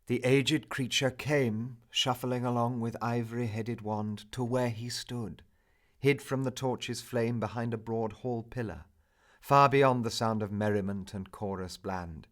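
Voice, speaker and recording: A man narrating a poem in a theatrical, measured manner, swelling for emphasis and hushing at line endings. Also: medium pitch, fairly even intonation. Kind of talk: dramatic narrative poetry recital.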